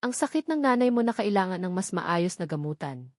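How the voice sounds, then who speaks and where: A woman's voice: fairly low pitch, big pitch swings, brisk, no room sound, studio quality. A woman voicing a cold, calculating rich young businessman in a romance audio drama, with a clipped, emotionless manner. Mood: blunt, calculating.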